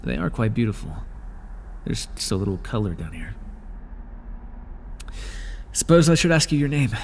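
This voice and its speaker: A man voicing a proud, weary male god of the underworld in an ASMR roleplay, with a dry, aloof manner and a quiet curiosity. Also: medium pitch, very animated, moderate pace, creaky, noisy background. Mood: curious.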